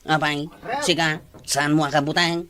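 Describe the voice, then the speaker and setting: A woman's voice: low-pitched, flat delivery, moderate pace, fairly bright tone. A woman playing a sneaky, bossy villager in an Ilocano comedy drama. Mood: furtive, bossy.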